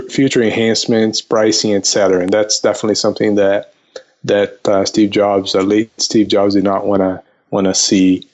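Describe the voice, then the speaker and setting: A man answering conversationally as an interview guest, haltingly, repeating words as he goes, on slightly lo-fi audio. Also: fairly low pitch, darkish tone. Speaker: investment counselor, podcast guest.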